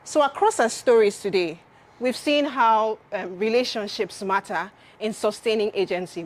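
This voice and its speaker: A woman presenting at a conference, explaining her points step by step and repeating key phrases for emphasis. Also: mid-range voice.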